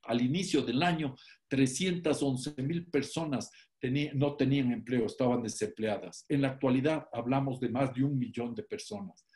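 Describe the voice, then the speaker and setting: A man's voice: mid-range voice, a little mellow. Older Ecuadorian man lecturing emphatically. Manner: emphatic, deliberate, piles up lists and slows on key words. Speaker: economist giving an online talk. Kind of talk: public lecture. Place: home room, indoors. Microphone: laptop webcam on a video call.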